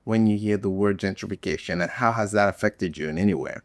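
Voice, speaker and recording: A man's voice: fairly low pitch, fast, no room sound. A man hosting an interview, asking quiz questions in an easygoing way with lots of fillers. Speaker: podcast host.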